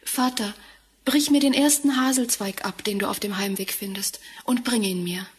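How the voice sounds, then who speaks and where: A woman playing a gentle, sorrowful young stepdaughter in a fairy-tale audio drama, meek and earnest. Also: mid-range voice, normal speed, a little hiss or hum, close to an everyday voice. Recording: music behind, vinyl rip.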